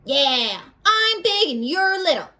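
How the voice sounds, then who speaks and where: A woman's voice: very high-pitched, big pitch swings, slow, pushed and bright, full character voice. A woman voicing a bossy, scornful young boy from a picture book in a taunting, dismissive way.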